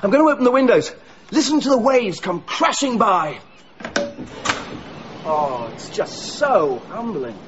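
Radio drama role: genial, dreamy husband, higher-set animated voice, slightly dull sound. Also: male voice, unhurried, pauses often, background noise. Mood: wistful. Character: genial, romantic husband, parish council chair.

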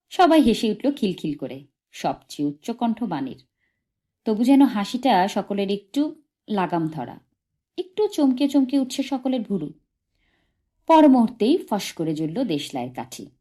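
A woman reading literary fiction aloud as an audiobook, in expressive scripted narration. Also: mid-range voice, a little slow, pauses often. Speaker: audiobook reader.

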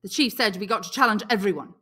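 A woman voicing a brash young boy sentry in an audiobook, with a sharp, challenging manner. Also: medium pitch, fast, pitched above the performer's own voice, natural voice.

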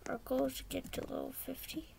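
A teenage girl talking quietly over her gameplay in a hoarse, half-whispered voice, rambling and hesitant, on a poor computer mic. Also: sits high, monotone, brisk, noisy background. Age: teens. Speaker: gamer. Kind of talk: casual gameplay commentary. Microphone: poor-quality computer mic.

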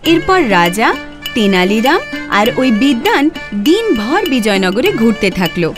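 Animated woman storyteller, fairly high voice. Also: unhurried. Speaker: narrator. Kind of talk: scripted storybook narration. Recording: clear background music.

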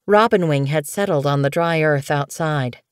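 A woman narrating scripted fiction as an audiobook reader, in a measured, expressive storytelling manner. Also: sits low, clean tone, no room sound, studio quality.

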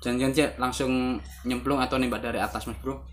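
A man's voice: mid-range voice, little pitch movement, fast talker. A young man in his twenties giving casual, laid-back reaction commentary in a small room, close to a phone camera, constantly addressing his viewers as bro. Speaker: reaction video host. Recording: loud background noise.

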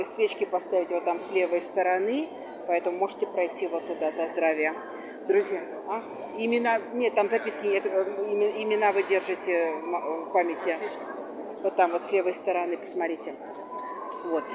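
A woman's voice: on the low side, fairly even intonation, normal speed, crowd noise. A woman in her forties, a tour guide vlogging casually and bluntly to her viewers from a phone camera, in a cathedral, outdoors and on a train. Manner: chatty, blunt, addresses viewers as friends. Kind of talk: casual vlog narration. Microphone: handheld phone camera. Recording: noisy handheld phone audio.

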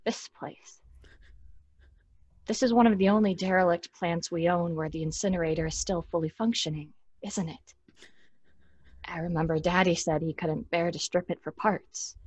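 A young woman playing a bitter, greedy daughter in a horror audio drama, halting and intense. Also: lowish voice, a little mellow, breathy edge, no room sound. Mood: hushed, chilling. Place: small room at home, on video call.

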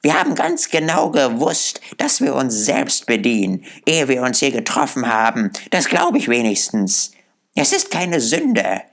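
A man's voice: mid-range voice, sing-song, a little slow, a bit bright, voice pushed up. A man voicing a brash, defiant old woman thief in an audiobook, with a coarse, taunting manner. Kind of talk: audiobook character voice.

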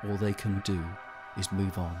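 A man's voice: low voice, little pitch movement, moderate pace, over music. A man reading scripted narration for an audio drama.

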